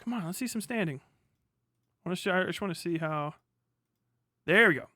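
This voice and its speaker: A middle-aged man with a fairly high, bright and punchy voice, recorded dry and close. He talks like a livestream host reacting off the cuff, loose and slangy, swearing freely as he rambles. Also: brisk, no echo. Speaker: livestream host, former Marine. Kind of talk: casual reaction commentary.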